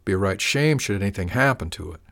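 A man's voice: fairly low pitch, lively intonation, quick pace, a bit bright. A man voicing a falsely friendly male gang boss who drawls with oily, mock-wounded charm. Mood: smarmy menacing.

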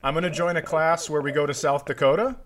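A man's voice: on the high side, steady tone, fast, a bit bright, slight background noise. A man co-hosting a comedy podcast with casual banter and wry riffing.

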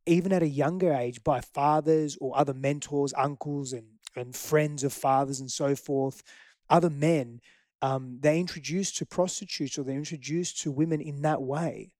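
A man's voice: fairly high pitch, moderate pace, a little mellow, no room sound, clean studio sound. Greek-born man, reflective and earnest. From Greece. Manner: reflective, earnest, frequent restarts. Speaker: guest. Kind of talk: candid personal storytelling.

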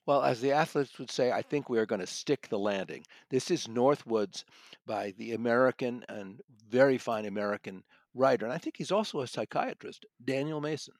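A man's voice: medium pitch, animated, normal speed, no room sound, studio quality. A man reviewing books in conversation as a podcast guest, enthusiastic and considered in how he talks. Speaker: audiobook critic and podcast guest.

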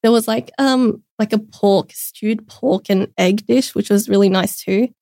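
A woman's voice: medium pitch, a little slow, gravelly, mellow, dry recording, clean studio sound. A young woman chatting upbeat as a podcast guest, with many casual fillers. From Australia. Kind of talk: casual interview chat.

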